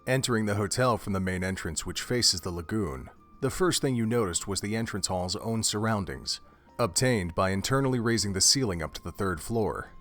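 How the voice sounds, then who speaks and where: A man narrating an audio drama in a steady, descriptive storytelling manner. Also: lowish voice, moderate pace, soft background music.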